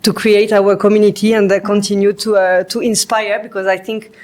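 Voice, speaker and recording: A woman with a French accent hosting an interview in casual English, encouraging and halting, with many fillers and restarts. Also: mid-range voice, little pitch movement, darkish tone. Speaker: show host.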